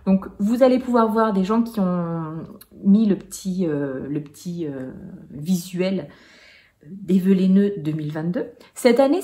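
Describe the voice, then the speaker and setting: A woman's voice: lowish voice, dark tone. A woman in her forties chatting casually to camera about knitting at home, hesitant, with many fillers and small laughs. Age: 40s. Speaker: knitting vlogger.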